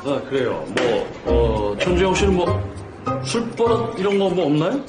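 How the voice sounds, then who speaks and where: A man's voice: a little high, big pitch swings, measured pace, loud music behind the voice. An actor playing a cocky older man who teases the younger man and boasts about his drinking. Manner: teasing, boastful, casual. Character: cocky older brother-in-law figure bragging about drinking.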